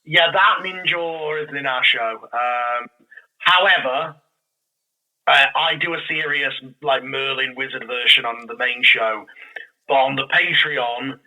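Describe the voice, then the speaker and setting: A man talking casually and enthusiastically as a podcast guest, explaining things in an animated, rambling way. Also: medium pitch, takes it slowly, low-quality recording. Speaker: podcast guest, audio drama creator. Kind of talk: casual, enthusiastic fan chat.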